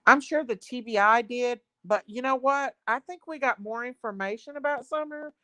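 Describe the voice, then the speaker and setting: A woman's voice: a little high, moderate pace, sharp tone, dry recording. A woman hosting a casual livestream, reading viewer comments aloud and greeting people by name. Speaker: livestream host.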